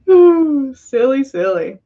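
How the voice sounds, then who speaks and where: A woman's voice: sits high, dull audio. A woman hosting a livestream, reading stories and chatting casually in a playful, giggly manner. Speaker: podcast host reading listener stories. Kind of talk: livestream storytelling and casual chat.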